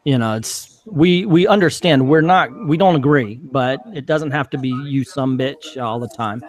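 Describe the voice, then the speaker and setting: A man with a country accent mixed with Chicago, rambling with drawn-out vowels and lots of fillers, as a guest on an online chat over a remote call mic. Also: mid-range voice. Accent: American country, mixed with Chicago. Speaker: guest and fellow streamer. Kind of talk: casual online discussion.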